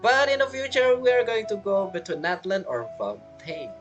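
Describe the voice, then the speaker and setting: A woman's voice: mid-range voice, normal speed, dull audio, music in the background. A woman doing casual gameplay commentary, chattily talking back to the game's characters. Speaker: game streamer.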